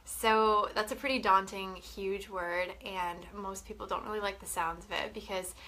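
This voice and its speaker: A woman's voice: medium pitch, steady tone, normal speed, bright, forceful tone, rough recording. A young woman from Canada with a head cold, sounding sniffly, talking casually and chattily to camera. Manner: chatty, swearing, frequent "like" and "you know". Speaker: wellness vlogger.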